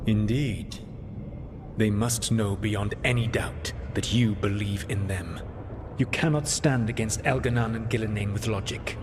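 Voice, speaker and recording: Man acting ancient elven mentor, low voice, grave, measured, formal, calculating, video game dialogue, noisy rumbling background. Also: close to an everyday voice. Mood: counselling, solemn.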